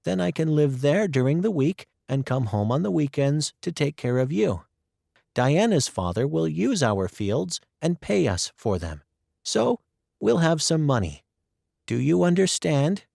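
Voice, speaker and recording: An earnest, cheerful teenage girl from a storybook, her voice lifted high and eager, as a man puts her on. The voice swoops with lively pitch yet keeps a gravelly texture, delivered slowly in a dry, close recording. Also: no echo, full character voice. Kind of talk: storybook reading.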